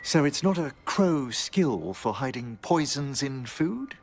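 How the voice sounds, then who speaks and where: An actor playing a courteous, scholarly gentleman necromancer in a fantasy game, with formal, genteel phrasing. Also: medium pitch, sing-song, slow pace, heavily acted. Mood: polite, wry.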